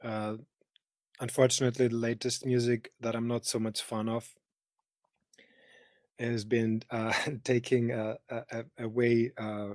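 Greek-accented man, halting, close dry sound. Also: mid-range voice, little pitch movement, darkish tone, no room sound. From Greece. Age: 30s. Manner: halting, searching for words in non-native English. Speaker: interview guest. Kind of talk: casual personal conversation.

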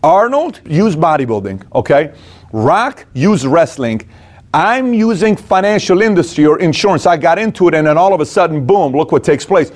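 A man with a slightly high voice delivers a motivational business talk. He speaks conversationally but with emphasis, breaking points into short punchy phrases. He often poses questions and then answers them himself. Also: big pitch swings, moderate pace.

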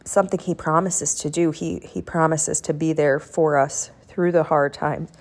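A young woman with a notably low voice hosts a casual chat and prayer podcast. She sounds congested from a head cold. Her talk rambles along in a chatty way, full of fillers. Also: steady tone, normal speed. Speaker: podcast host.

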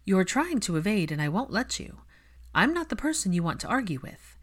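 Woman voicing a firm young female healer, lively intonation. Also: mid-range voice, fairly quick. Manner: reasoned, insistent. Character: firm, earnest young female healer, calm but persistent.

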